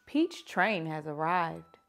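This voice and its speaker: A woman reading picture books aloud to children like a teacher, with animated, drawn-out emphasis. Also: low voice, vocal fry. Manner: animated, drawn-out emphasis, asking listeners questions. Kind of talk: expressive children's story read-aloud.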